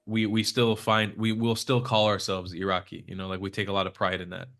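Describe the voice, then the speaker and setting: Young man, Hebrew first language, lowish voice, loose rambling guest chatter. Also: completely flat delivery, a little fast, fairly bright tone. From United States. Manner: rambling, many fillers and restarts. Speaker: podcast guest. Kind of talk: casual conversational interview. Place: Israel.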